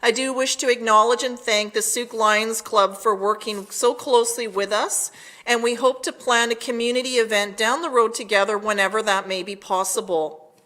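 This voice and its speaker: A woman delivering a prepared public address as town mayor, measured and formal. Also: a little high, steady tone, pushed and bright.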